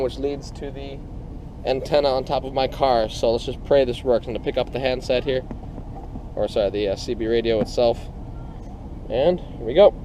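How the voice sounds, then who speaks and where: A man giving a casual hands-on product demonstration, explaining informally and haltingly, step by step. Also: medium pitch, dark tone, a little hiss or hum. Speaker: product reviewer.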